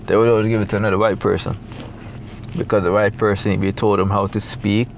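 Jamaican man, gravelly low voice. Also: level tone, slight background noise. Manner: reflective, halting, many fillers. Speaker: photographer, interview guest. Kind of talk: casual interview.

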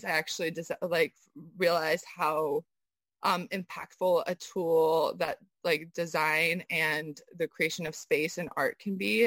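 A woman's voice: lowish voice, a bit bright, no echo. American woman, hesitant, in a dry recording. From United States, mainly Southern California. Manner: hesitant, searching for words. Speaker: interview guest, designer and sculptor. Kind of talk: conversational interview answers.

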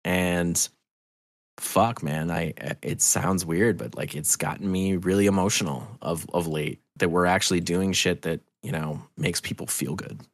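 Deep, gravelly man chatting candidly. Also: measured pace, dry recording. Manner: earnest, rambling, hesitant restarts, freely swearing. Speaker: podcast guest, audio drama creator. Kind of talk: casual, candid podcast conversation.

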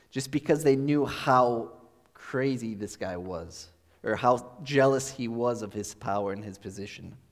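A pastor giving a relaxed, conversational sermon, explaining as he goes with thinking-aloud pauses, recorded in a church sanctuary. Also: mid-range voice. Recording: long hall reverb.

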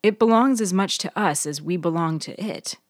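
Unruffled, sage-like woman for audio fiction female narrator acting, lowish voice, philosophical, gently lecturing, dry close recording. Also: moderate pace, no echo, lightly acted. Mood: calm, philosophical. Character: Heck, elegant, wise, unruffled mysterious woman. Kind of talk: dramatised audiobook dialogue.

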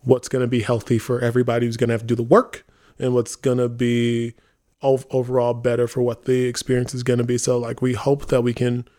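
A man's voice: mid-range voice, monotone, brisk, mellow. A man hosting a casual podcast for a theater company, talking earnestly and driving his points home.

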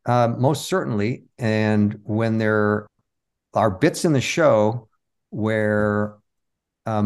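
A man speaking as an interview guest, reflective and halting as he tells stories of his work in conversation. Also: fairly low pitch, a little mellow. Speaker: interview guest, comic magician and stage show creator.

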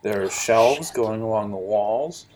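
A man narrating as game master of a tabletop role-playing game, describing scenes in a halting, lively way. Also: lowish voice, a little hiss or hum.

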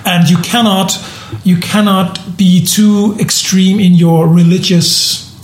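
A man from Denmark with a notably high voice, chatting casually and stumbling through frequent restarts and repeated words. His voice bounces around a roomy, echoing space. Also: steady tone, slow pace. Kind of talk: casual podcast conversation. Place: room in southern Germany.

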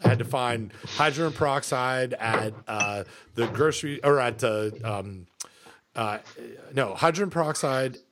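Rambling, halting man on a podcast. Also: mid-range voice, background noise. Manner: halting, many fillers and restarts. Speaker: podcast co-host. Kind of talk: casual podcast chat.

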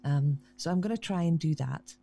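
Chatty low-voiced woman musician. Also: normal speed, creaky, mellow, faint music behind. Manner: chatty, self-deprecating, restarts often. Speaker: guest musician, harpist. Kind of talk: casual conversational interview.

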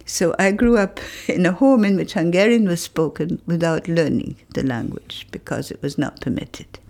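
An older woman, a retired professor, recalling her family in an interview, thoughtful and hesitant with pauses and fillers. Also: lowish voice, creaky, darkish tone. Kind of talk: reflective interview recollection.

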